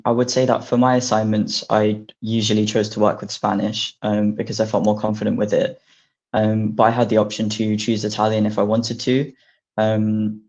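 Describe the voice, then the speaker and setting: Male student with a low, gravelly, very flat voice; filler-heavy speech; dull lo-fi sound. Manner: soft-spoken and filler-heavy. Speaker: master's student in translation. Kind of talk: student panel conversation. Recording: dull lo-fi audio.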